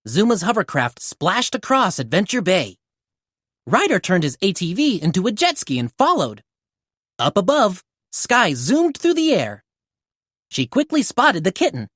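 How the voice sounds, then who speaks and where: A woman narrating a children's storybook aloud in an animated read-aloud style. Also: mid-range voice, measured pace, no room sound, studio quality. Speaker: storybook reader.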